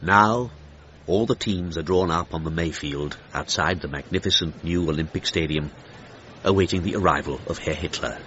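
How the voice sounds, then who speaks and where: A man's voice: low voice, moderate pace, noisy background, lightly acted. An actor playing a stately, dramatic male commentator in the style of a 1930s radio broadcast, full of reverence. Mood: stately, awed. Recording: dull band-limited sound with music behind.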